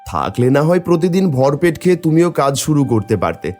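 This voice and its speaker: A man's voice: on the high side, very animated, a little fast, darkish tone, faint music behind. A man voicing a poor, wistful village taro seller and put-upon husband in a cartoon story, plaintive and pleading. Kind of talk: Bengali cartoon story voice acting.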